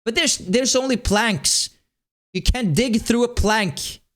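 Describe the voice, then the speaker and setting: A man streaming a game, with a light, high-pitched voice, chatting casually and rambling with lots of laughs. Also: takes it slowly. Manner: animated, rambling, laughs often. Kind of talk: casual live-stream chat while playing. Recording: music playing underneath. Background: game music.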